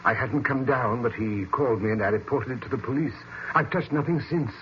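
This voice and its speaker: An actor playing a polite, deferential butler with a formal manner in an old-time radio drama. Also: male voice, medium pitch, fairly quick, lightly acted. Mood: deferential, proper. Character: polite, deferential male butler of a wealthy household. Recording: muffled, hissy vintage radio recording.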